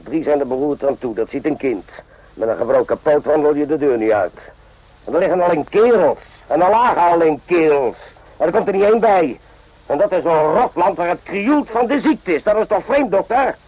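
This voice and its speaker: An irritable army medic in a radio play, pitched rather high for a man. He speaks gruffly and suspiciously, barking like an interrogator. The recording is dull and lo-fi. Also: expressive, moderate pace, a little mellow. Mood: brooding, suspicious. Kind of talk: radio drama acting.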